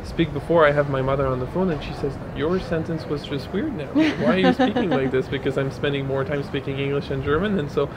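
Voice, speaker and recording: A man's voice: on the high side, expressive, fast talker, hardly any pauses, crowd noise. A man whose first language is French, talking animatedly in an interview and often repeating his agreement. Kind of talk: casual interview answer. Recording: noisy outdoor recording with traffic.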